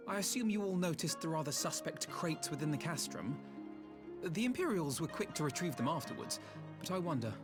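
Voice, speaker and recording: A thoughtful young scholar, voiced by a man, with a lively, rising and falling voice and a careful, questioning manner. Game music is clear behind him. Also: on the high side, a little fast, lightly acted. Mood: wary. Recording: game dialogue over background music.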